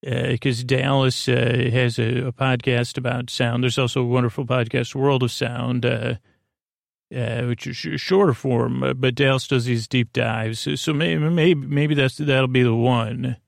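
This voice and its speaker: A man with a mid-pitched, gravelly creak talks in a dry, echo-free recording. He rambles as a bedtime podcast host would, hesitating, drifting off course and circling back to where he was. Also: steady tone, unhurried. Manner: meandering, hesitant, keeps losing his thread and doubling back.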